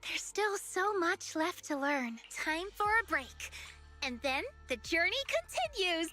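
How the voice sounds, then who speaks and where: A woman's voice: very high voice, big pitch swings, a little slow, pushed and bright, exaggerated voice. A woman playing an earnest, eager young researcher with big dreams in a video game. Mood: hopeful, earnest. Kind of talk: English video game voice acting. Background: game music.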